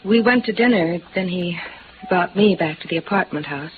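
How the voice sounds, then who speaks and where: An American actress playing a cool, guarded, evasive widow in a melodramatic 1950s radio noir drama. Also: female voice, lowish voice, moderate pace, close to an everyday voice. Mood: pained, evasive. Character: cool, guarded, alluring widow hiding a secret. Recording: muffled, hissy vintage radio recording.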